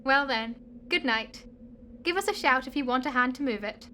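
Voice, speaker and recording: Woman acting a high-voiced cheeky young daughter. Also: normal speed, bright, forceful tone, a little hiss or hum. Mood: teasing, mock spooky. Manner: playful, mock-dramatic. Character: teasing, cheeky young adult daughter living at home. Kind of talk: amateur radio play acting.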